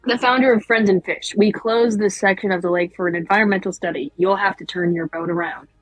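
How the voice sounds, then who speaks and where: A woman's voice: mid-range voice, steady tone, fairly quick, no echo, soft background music, close to an everyday voice, poor audio. A woman playing a stern, skeptical marine biologist in a cartoon table read, speaking firmly and dismissively.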